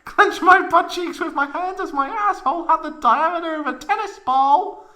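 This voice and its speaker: A woman's voice: extremely high pitch, moderate pace, bright, forceful tone. A woman playing a proud, melodramatic young woman recounting an embarrassing tale in a comedic fan fiction reading, overwrought and close to giggling. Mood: anguished, overwrought.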